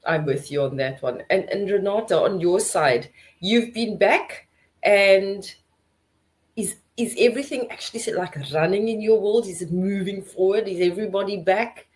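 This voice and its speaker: A woman's voice: mid-range voice, normal speed. A woman from South Africa with a South African accent, hosting a casual podcast chat over a video call and asking friendly questions. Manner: friendly, chatty, asks follow-up questions. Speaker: podcast host.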